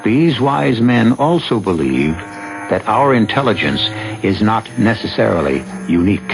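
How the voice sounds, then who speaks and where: A lowish, gravelly male host narrates a 1970s radio drama. His delivery is theatrical and musing, with animated intonation over clear music. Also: noisy background. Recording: old radio broadcast with music behind.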